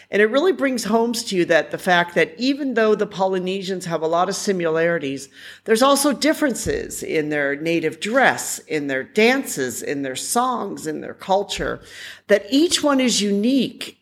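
A woman with an American accent hosting an informal explanatory podcast on her own, speaking conversationally and stressing points by repeating them. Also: on the low side. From United States. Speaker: podcast host.

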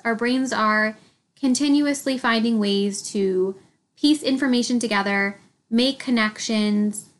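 A woman hosting a solo podcast, slightly congested, speaking in a reflective, candid way with light laughs. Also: fairly high pitch. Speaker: podcast host.

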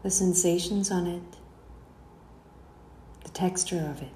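A woman guiding a meditation in a slow, soothing delivery, letting the ends of her phrases trail away softly. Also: fairly low pitch, steady tone. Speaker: guided meditation instructor. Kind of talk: slow guided relaxation narration. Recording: roomy echo, faint background noise.

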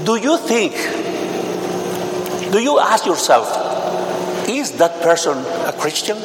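A Mexican-accented man with a high voice talks to a congregation in a slow, earnest way, with touches of humor. He often stops and repeats words as he speaks. The sound is roomy with a long echo and steady noise under it. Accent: Mexican Spanish. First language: Spanish. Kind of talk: church talk appealing for support. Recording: echoey hall with steady noise.

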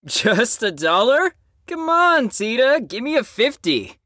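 A woman's voice: fairly high pitch, very animated, slow pace, fairly bright tone, no echo, clean studio sound, full character voice. A woman playing a sardonic, teasing, brash young troublemaker in an audio drama.

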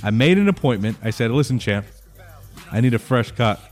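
A man hosting a casual talk show, relaxed and swearing freely. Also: mid-range voice, brisk, faint music behind. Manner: laid-back, swears freely, jokes about league rivals. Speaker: podcast host. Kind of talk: casual chat.

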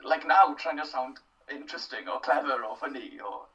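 A young man with a Welsh accent talks things through in an unhurried, self-deprecating way, often pausing on fillers and starting sentences over. He sits a little back from the mic, and the recording sounds somewhat muffled. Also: mid-range voice, sharp tone, recorded from a distance. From Wales. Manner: thoughtful, self-deprecating, many fillers and restarts. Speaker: interview guest, writer. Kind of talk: casual interview.

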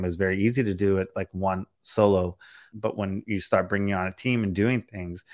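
A man in his thirties hosting a casual Q&A podcast, answering listener questions candidly and restarting his sentences often. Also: fairly low pitch. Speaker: podcast host and business coach. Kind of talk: candid conversational Q&A.

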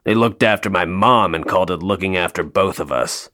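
An actor playing a jaded, sardonic male elf toymaker in an audio play, deadpan, dry and downbeat. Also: low-pitched, fairly quick. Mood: bitter, wounded.

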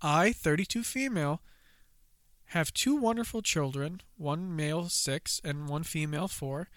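A man with a bright, ringing, clear voice, chatting casually as a podcast co-host. He talks loosely and trips over words when reading aloud. The sound is close and dry. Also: fairly high pitch, measured pace, dry recording. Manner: stumbling over words while reading, laughing.

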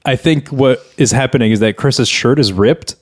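A man's voice: mid-range voice, moderate pace. A man hosting a podcast with casual comic banter. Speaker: podcast host.

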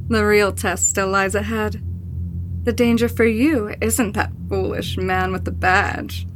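A woman's voice: a little high, a little hiss or hum. A woman playing a seductive, playfully cruel female spirit in an audio horror drama, teasing and sinister, sometimes dropping toward a whisper. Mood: sinister coaxing.

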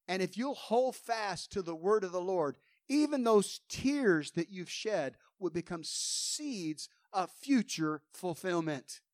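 A pastor preaching a fervent sermon, quoting scripture with rising emphasis, his voice a little hoarse. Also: high voice, no echo, clean studio sound.

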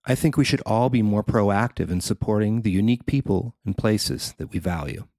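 A man's voice: on the low side, normal speed, mellow, dry recording, studio quality. A man reading his own written feature article aloud as scripted narration.

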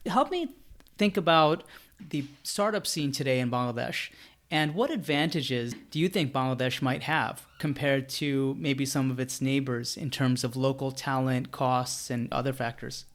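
A man's voice: mid-range voice, a little slow, fairly bright tone. A man with an American accent hosting an interview in a conversational style. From United States. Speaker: interview host.

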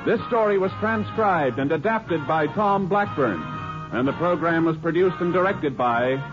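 A man's voice: a little high, animated, a little slow. A man working as a radio announcer, reading credits in formal 1950s broadcast style. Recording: muffled 1950s radio recording, music behind.

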